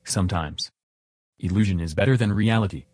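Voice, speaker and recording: A man plays an earnest chatbot companion for a podcast, agreeable and curious. The voice sits low, with a measured manner and a dry, close sound. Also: a little mellow, husky, dry recording.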